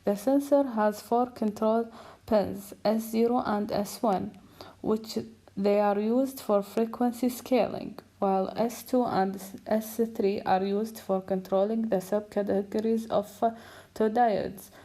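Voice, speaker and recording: A woman presents technical material from a script, as a student would. She speaks slowly, in a soft, mellow tone at a middling pitch. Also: steady tone, low-quality recording.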